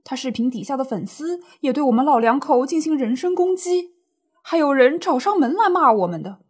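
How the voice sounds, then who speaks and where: A woman's voice: sits high, lively intonation, fairly quick. A woman playing a distressed, indignant older snack shop owner in a radio drama, plaintive and full of colloquial exclamations. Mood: aggrieved.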